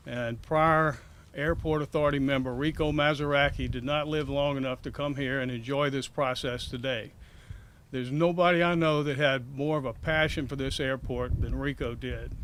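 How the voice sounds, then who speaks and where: An older man giving formal, measured remarks of thanks as a chairman. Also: mid-range voice, steady tone, a bit bright, a little hiss or hum. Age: 50s or older. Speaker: airport authority chairman.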